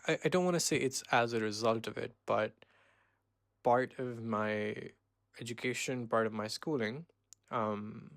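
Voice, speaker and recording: A man in his twenties from Pakistan, hosting a casual solo podcast and rambling with many pauses and self-corrections. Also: medium pitch, vocal fry, a bit bright, no echo. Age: 20s. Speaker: podcast host.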